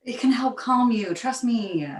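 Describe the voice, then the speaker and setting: A woman's voice: on the high side, a little slow, natural voice. A woman playing an exasperated mother in her thirties in a live comedy table read, protesting as she talks. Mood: weary. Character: exasperated 35-year-old mother of a talking baby. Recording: echoey, distant from the mic.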